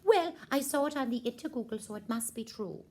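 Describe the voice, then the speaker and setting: A woman's voice: fairly high pitch, expressive, brisk, pitched above the performer's own voice, close to an everyday voice. A woman voicing a bossy, scatterbrained queen mother puppet, fussy and regal in manner, for a children's puppet show. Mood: self-assured. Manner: regal fussing, emphatic, self-assured.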